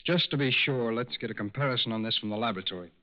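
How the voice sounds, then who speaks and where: An actor playing a shrewd, businesslike federal agent with a clipped, matter-of-fact delivery in a 1940s radio drama. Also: male voice, mid-range voice, rapid-fire, natural voice. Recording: muffled 1940s radio recording.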